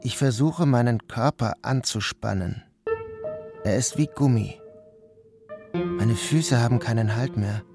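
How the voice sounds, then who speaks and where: Calm male narrator over music. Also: medium pitch, measured pace, pauses often. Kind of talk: scripted first-person narration.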